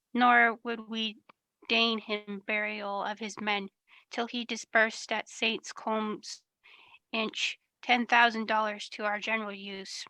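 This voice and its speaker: A woman's voice: a little high, creaky, sharp tone, no echo, full character voice, poor audio. A woman playing a noble Scottish thane who declaims battle news to a king in a Shakespearean radio play.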